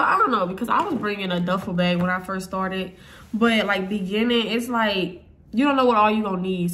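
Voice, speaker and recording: A woman's voice: a little high, a little hiss or hum. Stuffy-voiced young woman chatting through a makeup routine. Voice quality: stuffy, nasal. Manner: blunt, chatty, profane, rambling. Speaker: vlogger. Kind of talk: casual makeup tutorial vlog.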